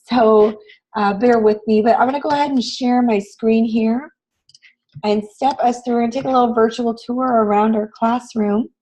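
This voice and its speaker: A woman with a raspy voice, hoarse from a cold, teaching a live online class in an encouraging, conversational way. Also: on the high side, fairly even intonation, normal speed, a little mellow, poor audio. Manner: encouraging, addresses students with frequent questions. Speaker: online graphic design instructor. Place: online class session, screen shared.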